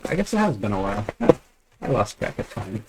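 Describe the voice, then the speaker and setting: A man's voice: lowish voice, sing-song, fairly quick, heavy background noise. Rambling man with a head cold. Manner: rambling, hesitant, frequent restarts and chuckles. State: has a cold, voice a bit off. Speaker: trading card unboxing vlogger. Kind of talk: casual unboxing chat. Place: at home.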